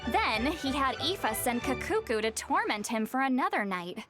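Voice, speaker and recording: A haughty young shaman, kinda high voice, wry and lecture-y, game music behind. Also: very animated, moderate pace, fairly bright tone. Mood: explaining. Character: ancient-minded young shaman woman, proud and sharp-tongued. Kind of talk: video game dialogue. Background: game soundtrack music.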